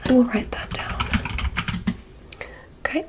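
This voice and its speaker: A woman playing a curious, gently condescending alien medical examiner in an ASMR roleplay, speaking in a soft, breathy, measured whisper with clinical instructions. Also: slight background noise, lightly acted. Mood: curious, soothing. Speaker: ASMR performer.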